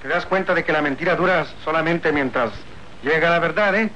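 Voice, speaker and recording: A man's voice: a little high, brisk, sharp tone. A man playing a bold, hot-tempered young rancher hero in a radio serial, emphatic, with a Mexican accent. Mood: determined. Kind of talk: old radio serial acting. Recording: faintly noisy old radio recording.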